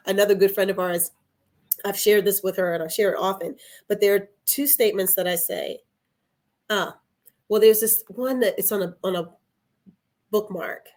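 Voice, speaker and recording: A woman talking reflectively in a conversational podcast style, earnest, often repeating phrases and posing questions. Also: mid-range voice. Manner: earnest, rhetorical questions and repetition. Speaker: podcast guest.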